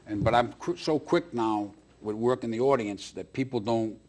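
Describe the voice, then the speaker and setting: A man's voice: medium pitch, moderate pace, a little mellow. A middle-aged man chatting casually as a talk-show guest, enthusiastic and often repeating himself for emphasis. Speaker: guest, stand-up comedian and booker.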